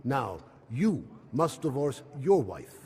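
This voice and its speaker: An actor playing a stern imam at a holy site in a radio drama, handing down judgment. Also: male voice, mid-range voice, sing-song, takes it slowly, a little mellow. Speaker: imam character.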